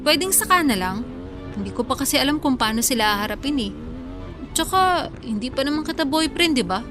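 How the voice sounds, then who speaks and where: An actress playing a tough, frank female tricycle driver, guarded in love, with brisk plain-spoken banter in a radio drama. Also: high voice, lively intonation. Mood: guarded, earnest. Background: music.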